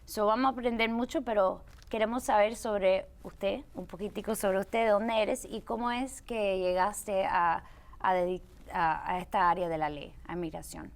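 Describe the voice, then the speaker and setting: Presentadora de televisión que conversa con soltura, mezcla palabras en inglés. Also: female voice, medium pitch, fairly even intonation, moderate pace. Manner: chatty, with restarts and switches into English words. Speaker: TV show host. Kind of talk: hosting a TV interview.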